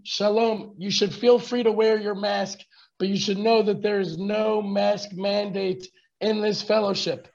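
Hoarse man on a dull video call. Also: high voice, darkish tone. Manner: chatty, greets viewers by name. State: hoarse, lost voice. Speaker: fellowship teacher. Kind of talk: casual live online talk.

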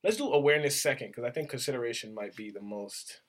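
A man's voice: medium pitch, fast. A young man co-hosting a podcast in casual chat, laying out points and rambling through hypothetical examples. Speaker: podcast co-host.

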